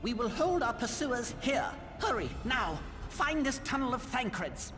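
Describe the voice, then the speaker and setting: Scholarly small male wizard, fussy and formal, animated, game cutscene, long reverb. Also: very high voice, measured pace, full character voice. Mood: urgent, commanding. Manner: formal, old-fashioned, theatrical diction. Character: small, proper, scholarly male mage, fussy but steadfast. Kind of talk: video game cutscene voice acting. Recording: long reverb, faint music behind.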